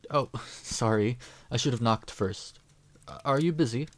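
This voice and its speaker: A woman playing a kind, patient adventurer in a fantasy audio drama, talking in a gentle, casual, reassuring way. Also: a bass voice, unhurried. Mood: warm, reassuring.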